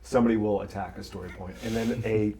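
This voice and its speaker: A man's voice: fairly low pitch, fairly even intonation, fast, dark tone, a little hiss or hum. A man on a casual panel, talking in an enthusiastic, agreeable way. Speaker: voice actor, panelist.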